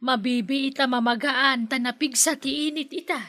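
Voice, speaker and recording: A woman's voice: sits high, fairly even intonation, moderate pace, pushed and bright. An actress with an Ilocano accent playing an easygoing, chatty woman shopkeeper in a village radio comedy, nosy and teasing. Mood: curious.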